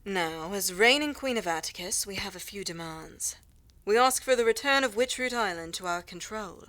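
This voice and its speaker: A woman's voice: mid-range voice, animated, normal speed, a bit bright, close to an everyday voice. A woman playing a poised reigning queen in a fantasy audio roleplay, firm, formal and imperious. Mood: firm, businesslike.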